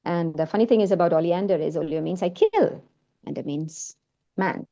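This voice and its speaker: A woman's voice: on the low side, moderate pace, dark tone, highs cut off. A woman, a Malayalam speaker with a mixed Indian and American accent, presenting her film work in a hesitant talk full of fillers and restarts. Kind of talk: informal talk in an online session.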